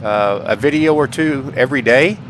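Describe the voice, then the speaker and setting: A man's voice: medium pitch, normal speed. Folksy man chatting, with background noise. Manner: folksy, repeats phrases for emphasis; earnest, heartfelt. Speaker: gaming channel host. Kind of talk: casual chat to viewers. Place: indoors at home, paintings behind.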